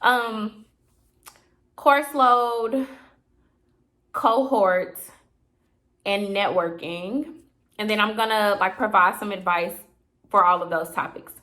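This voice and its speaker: A woman in her twenties from Chicago, a grad student vlogging late at night in a chatty, rambling way, her voice a little raspy. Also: medium pitch, slow, long pauses, bright, forceful tone. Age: 20s. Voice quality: slightly raspy. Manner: chatty, filler-heavy, explanatory. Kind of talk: casual late-night vlog chat.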